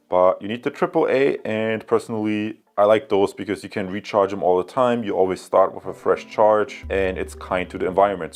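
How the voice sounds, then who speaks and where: Low-voiced man giving a casual review. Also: darkish tone. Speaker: product reviewer, channel host. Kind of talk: casual unscripted review talk to viewers.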